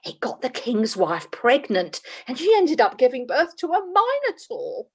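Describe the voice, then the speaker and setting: A woman's voice: sits high, wildly swooping pitch, unhurried, darkish tone, slightly rough, heavily acted. A woman playing a businesslike, slightly weary job agent in a comic radio play. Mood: dry. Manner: businesslike, dry, faintly exasperated. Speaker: employment agent.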